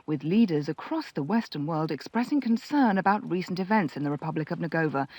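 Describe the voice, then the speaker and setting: A polished news anchor. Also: male voice, a little high, darkish tone, slight background noise. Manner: polished broadcast delivery. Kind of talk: scripted news reading.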